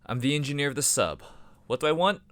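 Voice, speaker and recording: A man's voice: fairly high pitch, lively intonation, fast talker, fairly bright tone, no echo. A man playing an irritable, dismissive submarine engineer in an audio drama. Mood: irritated, scornful. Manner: dismissive, sarcastic. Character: blunt, irritable, money-minded male submarine engineer.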